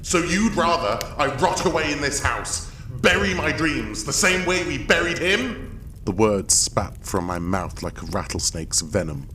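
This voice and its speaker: An actor playing a proud, bitter, obsessed expedition leader and husband, delivered as grandiose, theatrical first-person audio drama narration. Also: male voice, medium pitch, sing-song, a little slow, pushed and bright, a little hiss or hum, low-quality recording. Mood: angry, defiant.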